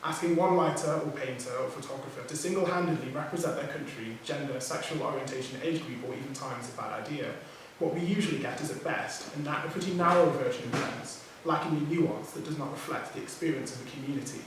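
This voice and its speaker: A man who speaks English as his first language, reading a prepared talk as a poet on a writers' panel, measured, with small self-corrections. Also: on the high side. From Nigerian heritage, raised outside Nigeria. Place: theatre hall. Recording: echoey hall from far off, background noise.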